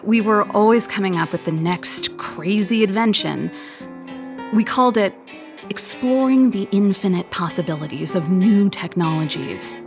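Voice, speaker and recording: Give this game character a wistful, guarded air: an actress playing a grown woman inventor with plenty of fry in her voice. She talks casually, trailing off when moved. Music plays clearly underneath. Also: medium pitch, expressive, normal speed, natural voice. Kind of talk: video game voice acting. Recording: loud music over the voice.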